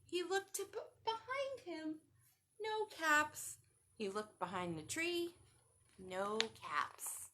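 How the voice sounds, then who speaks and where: A high-voiced woman reads a picture book to young children. She takes it slowly, with big swoops in pitch, and often turns to the listeners with questions. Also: noisy background. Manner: expressive, asks the listeners questions. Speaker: children's storytime reader.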